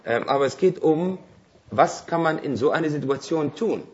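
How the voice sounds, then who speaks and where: A man's voice: on the high side, expressive, normal speed, darkish tone, highs cut off. A man from Palestine whose first language is Arabic, speaking German as a guest speaker answering audience questions, hesitant, with many fillers and restarts. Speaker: invited speaker.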